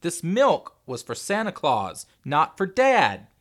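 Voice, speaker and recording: A man voicing a stubborn, spoiled young boy who shouts insistently in a storybook reading. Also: fairly high pitch, huge pitch swings, pitched above the performer's own voice, heavily acted. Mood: stubborn, angry. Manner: insistent, drawn-out emphatic shouting.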